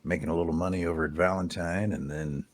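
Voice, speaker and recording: A man with a gravelly voice roleplaying a drifter in a western game, using folksy frontier phrasing and teasing remarks. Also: sits low, steady tone, fast talker, a little hiss or hum. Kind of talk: in-character western roleplay chat.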